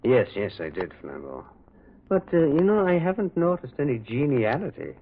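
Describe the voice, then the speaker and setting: A husky, swooping voice playing a gentle priest detective in a radio play. He murmurs, hesitates and repeats himself as he works through a puzzle, thoughtful and quietly probing, on a thin phone-like recording. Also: mid-range voice, very animated, moderate pace, full character voice. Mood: musing. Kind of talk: radio drama acting.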